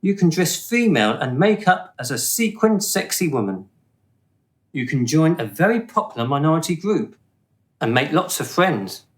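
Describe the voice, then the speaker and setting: A man playing a smooth, condescending afterlife official in a radio play, officious and deliberate in manner. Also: on the high side, very animated. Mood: smugly amused. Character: smooth, condescending male afterlife bureaucrat judging the dead.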